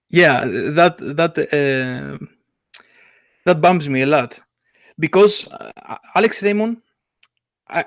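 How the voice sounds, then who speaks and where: Male co-host, fairly high voice, dry close sound, halting and eager delivery. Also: no echo. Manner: halting, many "uh" fillers, enthusiastic. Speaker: podcast co-host, comic artist. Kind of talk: casual chat.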